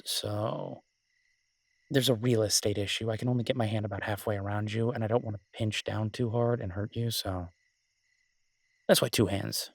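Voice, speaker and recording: Male actor as devoted alpha werewolf lover for romantic audio drama: low, even, intimate, teasing and protective. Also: dry recording, clean studio sound. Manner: tender, teasing, unhurried pauses.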